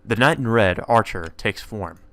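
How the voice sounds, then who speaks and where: A man's voice: lowish voice, lively intonation, moderate pace, fairly bright tone. A man reading visual novel narration aloud as the narrator of a let's play.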